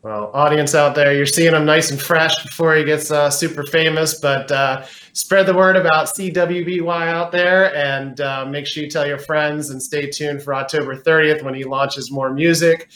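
A man's voice: a little high, steady tone, normal speed, hardly any pauses, a bit bright, reverberant, a little hiss or hum. A man hosting an interview, chatting casually and encouraging his guest. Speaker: interview host.

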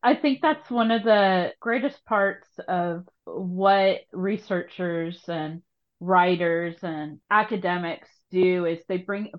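A woman's voice: fairly low pitch, dull audio. A woman hosting a conversational podcast interview, engaged and affirming as she builds on what the guest says.

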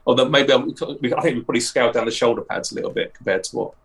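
A man's voice: medium pitch, very fast, creaky, distant mic. A man in casual podcast chat, talking hesitantly with many restarts and fillers, laughing easily. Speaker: comic artist guest.

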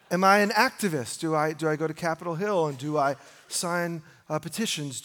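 Male American pastor, higher-than-average voice, informal conversational preaching with rhetorical questions, long hall reverb. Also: a bit bright. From United States. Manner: informal, rhetorical questioning, repeats phrases for emphasis. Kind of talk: conversational sermon. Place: large reverberant room, preaching live.

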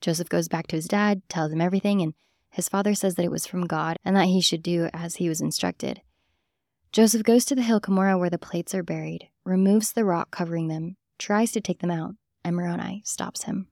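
A woman's voice: on the low side, a little fast, creaky, a little mellow, no echo, clean studio sound. A woman hosting and reading scripted documentary podcast narration. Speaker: host and narrator.

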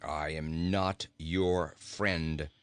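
Man voicing a surly, low-grumbling storybook goat. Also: very slow, clean tone, no room sound, exaggerated voice. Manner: grumbling, scornful, deadpan. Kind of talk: storybook character voice.

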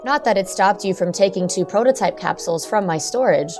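Audio drama role: concerned middle-aged mother, played by a woman, medium pitch, reproving, faint music behind. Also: animated, brisk, close to an everyday voice. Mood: explaining, suspicious. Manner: careful, reproving. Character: worried, stern mother who makes pills.

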